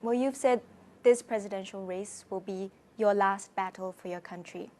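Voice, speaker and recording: Crisp female news interviewer. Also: mid-range voice, moderate pace, warm tone. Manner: crisp, pointed questions.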